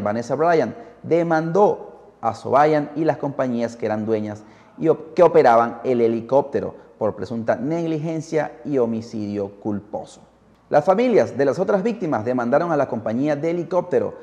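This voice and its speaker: A man's voice: mid-range voice, brisk, darkish tone. A man hosting a podcast, narrating from a script in a storytelling style. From Peru. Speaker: podcast host. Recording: muffled, heavy hall-like reverb.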